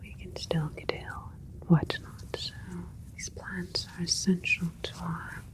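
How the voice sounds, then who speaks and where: A woman playing a gentle alien scientist doctor in a whispered ASMR sci-fi roleplay, speaking soothingly in a breathy voice with unhurried, halting phrases. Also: lowish voice, flat delivery, close to an everyday voice. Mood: calm, pleased.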